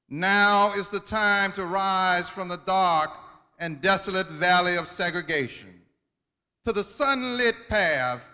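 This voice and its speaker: A man giving an emphatic, measured dramatic reading of a speech to a gathering. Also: high voice, bright, forceful tone, clean tone. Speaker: guest reader at a commemorative event. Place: large indoor hall at a college event. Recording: reverberant hall, long echo.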